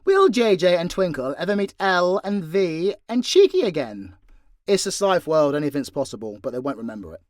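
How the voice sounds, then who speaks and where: A man's voice: fairly high pitch, lively intonation, normal speed, no room sound, clean studio sound. A British man answering fan questions in a casual, unscripted way, blunt and joking, with plenty of swearing. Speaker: voice actor and content creator answering fan questions.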